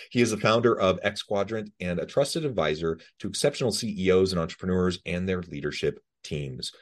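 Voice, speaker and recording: A man hosting a podcast, interviewing a guest in a relaxed, friendly way. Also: low-pitched, moderate pace. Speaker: podcast host.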